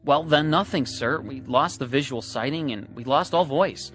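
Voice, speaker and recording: An actor playing a puzzled, dutiful junior military aide in a radio drama, reporting in a deferential manner. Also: male voice, mid-range voice, moderate pace, a bit bright, lightly acted. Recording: music bed behind the voice.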